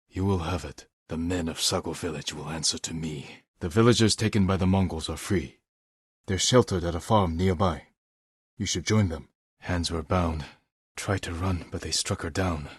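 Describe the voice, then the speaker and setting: An actor playing a stern, brooding samurai lord in a video game, speaking in measured, grave, clipped phrases. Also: male voice, deep voice, husky, dry recording, studio quality. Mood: stern, resolute. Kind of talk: dramatic video game voice acting.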